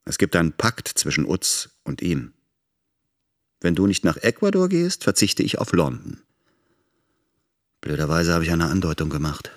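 A man playing a wary, brisk character recounting his dealings in a radio drama. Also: deep voice, very animated, full character voice. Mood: uneasy.

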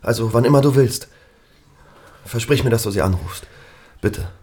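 A man's voice: mid-range voice, moderate pace, darkish tone, slight background noise, natural voice. A middle-aged man playing a worried, protective family friend in a naturalistic German audio drama, earnest and hesitant in manner. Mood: worried, pleading.

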